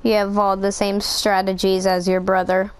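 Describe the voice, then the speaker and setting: A woman voicing a boastful, taunting old goat villain who is now a giant T-Rex, in a high-pitched voice in an amateur game machinima. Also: monotone, normal speed, clear, resonant tone, natural voice.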